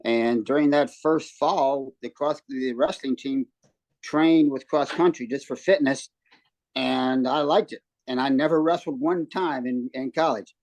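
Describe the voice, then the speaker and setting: A man in his seventies from West Virginia, a guest telling his story in a relaxed way from a hotel room. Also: medium pitch, a little slow, no room sound, low-quality recording. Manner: relaxed storytelling with many ums and restarts. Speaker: guest, former runner and coach. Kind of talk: casual interview chat.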